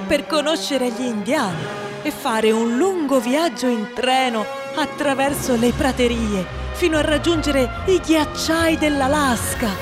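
An actress playing a wistful, dreamy, overweight young boy who confides his story in a radio drama monologue. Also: high-pitched.

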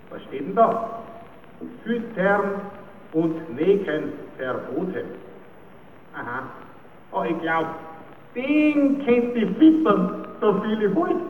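An actor playing a cheeky comic puppet-theatre clown in an old German radio play, talking theatrically to himself and others. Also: male voice, sits high, far from the mic. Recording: old noisy radio recording, roomy and muffled.

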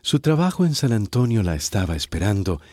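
A man's voice: lowish voice, sing-song, a little fast, dark tone, no echo. A man with a Costa Rican accent narrating a radio drama in a scripted storytelling style.

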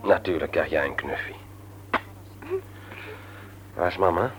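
A man's voice: sits low, unhurried, noisy background. An actor playing a mild, hesitant office manager and family man in a Dutch radio play, polite and apologetic. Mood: mild, fatherly. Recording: muffled old radio play recording.

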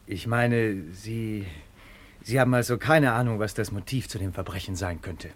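A male actor plays an awkward young investigator in an old radio play. He speaks politely and haltingly in a fairly low, husky voice. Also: lightly acted. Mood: shy, flustered. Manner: hesitant, stammering. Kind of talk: 1950s German radio play acting.

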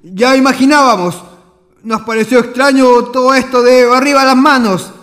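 An actor playing a lively, teasing colonist in a radio drama. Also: male voice, very high-pitched, normal speed, in a large hall. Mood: merry, teasing.

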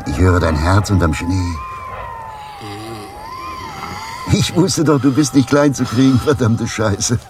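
An actor playing a drunken, crude, sardonic homeless tramp in a radio play, with a rasping voice and a theatrical delivery that swings between muttering and outcry. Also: male voice, medium pitch, animated, moderate pace, natural voice. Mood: anguished, calling. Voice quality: very gravelly, rasping. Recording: noisy 1980s radio play recording.

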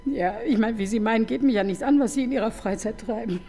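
A woman's voice: fairly high pitch, dark tone, slight background noise, close to an everyday voice. A woman playing a wry middle-aged detective. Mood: teasing, probing. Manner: ironic, needling, quick to tease. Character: wry, probing married woman police detective. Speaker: detective chief inspector. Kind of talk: radio crime drama acting.

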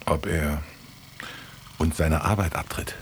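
A very low-voiced sardonic drinking buddy. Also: male voice, steady tone, takes it slowly, a little hiss or hum. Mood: tipsy mocking. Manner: dry, laconic, mocking. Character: sardonic, grumbling East German drinking buddy, educated layabout. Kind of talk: radio play dialogue.

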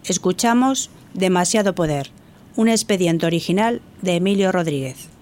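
A woman's voice: on the low side, expressive, moderate pace, a little mellow. A woman announcer presenting a radio fiction piece in a scripted style.

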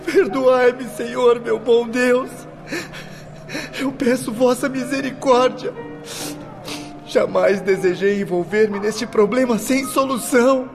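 An actor playing a repentant, despairing young prisoner praying in a radio drama. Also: male voice, extremely high pitch, takes it slowly, vocal fry, faint music behind, heavily acted. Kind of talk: Brazilian radio drama acting.

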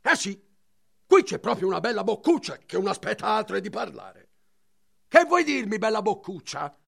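A woman acting a fussy, scolding marble cutter and exasperated father in a theatrical radio play, with a creaky, strained voice. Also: medium pitch, sing-song, moderate pace, fairly bright tone, full character voice. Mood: puzzled.

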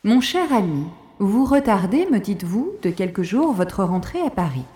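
Audio drama: flighty Parisian madame, acted by a woman, imperious, mocking, very lively intonation. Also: mid-range voice, moderate pace, slightly rough. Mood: gleeful, sly. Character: flighty, mischievous, worldly Parisian mistress of the house.

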